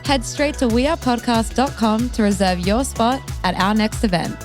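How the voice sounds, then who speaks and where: A woman's voice: a little high, moderate pace, music in the background. Woman reading a podcast ad. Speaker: ad reader. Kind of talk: read advertisement.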